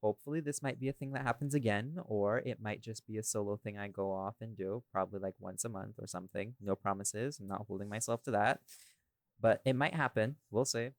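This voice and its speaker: A man's voice: on the low side, steady tone, fairly quick, vocal fry, no echo. A man hosting a casual behind-the-scenes podcast chat in a rambling, informal way. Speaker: podcast host and audio creator.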